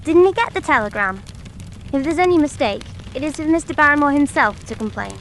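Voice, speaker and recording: Female performer, defensive village shopkeeper, testy, brisk, highish creaky voice, audiobook drama. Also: animated, a bit bright, a little hiss or hum. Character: testy, defensive village postmaster and grocer, a father.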